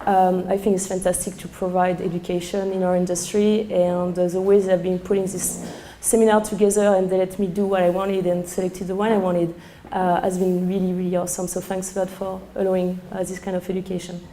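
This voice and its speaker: Hoarse French-accented woman teaching a wine seminar. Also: on the low side, brisk, slight background noise. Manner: chatty, many fillers, excitable. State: hoarse, losing her voice. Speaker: sommelier presenting a seminar. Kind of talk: live talk to a seminar audience. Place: seminar room.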